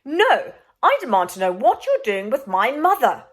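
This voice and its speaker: A woman's voice: high-pitched, wildly swooping pitch, moderate pace, voice pushed up, exaggerated voice. A woman voicing an earnest, brave young prince in a children's storybook, urgent and pleading. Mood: defiant.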